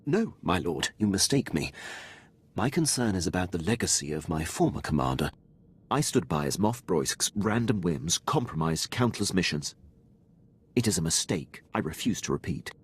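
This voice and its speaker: An actor playing a dutiful, loyal military officer in a video game, speaking formally and with precise, clipped diction. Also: male voice, low voice, very animated, normal speed, slightly rough, exaggerated voice. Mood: earnest, resolute. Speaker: starship captain serving a lord.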